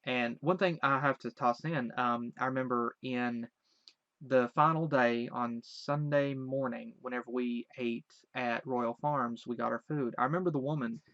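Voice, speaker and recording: Man, light Southern accent, mid pitch, rambling and hesitant recollection, dry dull lo-fi recording. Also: fairly even intonation, normal speed, fairly bright tone, dry recording. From Virginia. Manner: rambling, hesitant, many restarts. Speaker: vlogger. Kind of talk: casual recollection.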